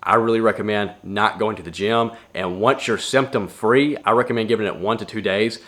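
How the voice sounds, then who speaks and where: A man's voice: on the low side, steady tone, fairly quick, a bit bright. A young man giving casual fitness advice to camera in a plainspoken, earnest way from his home gym. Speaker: fitness vlogger.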